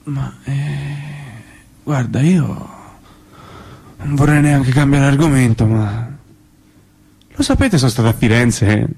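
A man's voice: mid-range voice, takes it slowly, vocal fry, long pauses, a little mellow, breathy edge, exaggerated voice. An actor playing a glib, digressing man in a tragicomic stage piece, rambling and searching for words. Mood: distracted. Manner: rambling, word-searching, offhand.

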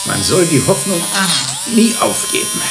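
Slow, sardonic pathologist in echoey room. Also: male voice, high voice, big pitch swings, heavy background noise, full character voice. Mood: musing, ironic. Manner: deliberate, dryly ironic. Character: sardonic, cultured male pathologist, world-weary and unhurried. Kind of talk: German radio play acting.

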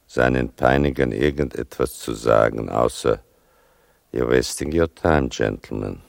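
An actor playing a grave older storyteller, giving a measured literary narration in a radio play. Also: male voice, a bass voice, gravelly, no room sound, studio quality, exaggerated voice.

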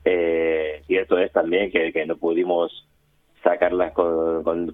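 A male radio guest with an accent from Spain talks in a deep voice over a narrow, dry phone-like connection. He is informal and hesitant, often doubling back on words. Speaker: community radio member, interviewee. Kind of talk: casual interview talk. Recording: roomy, phone-like remote line.

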